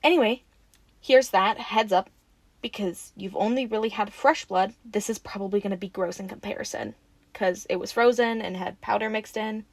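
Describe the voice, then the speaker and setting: A woman acting in an audio roleplay as a friendly, excitable young woman who rambles casually and gets playfully defensive. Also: medium pitch, normal speed, vocal fry, no room sound. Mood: friendly, chatty.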